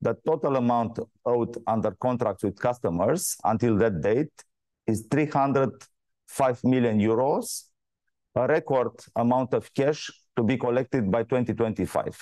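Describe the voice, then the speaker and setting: Exec guy reading out quarterly results, soft dark voice, formal and measured, very dry sound. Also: medium pitch, no room sound. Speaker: company executive presenting financial results. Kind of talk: scripted earnings call presentation.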